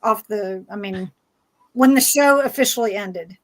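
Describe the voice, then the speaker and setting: A woman moderating a casual panel discussion, asking questions in a halting, conversational way. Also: mid-range voice.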